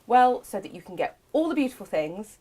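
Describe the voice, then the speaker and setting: A loud, bubbly woman co-hosting a live craft shopping show, chatting and laughing often. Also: fairly high pitch, sing-song, a little fast.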